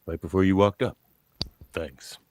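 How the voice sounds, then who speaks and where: Man acting older starship captain, low voice, commanding, brisk, theatrical, dry close sound. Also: steady tone, a little mellow, no echo. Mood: reflective, offhand. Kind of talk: amateur radio-play script read.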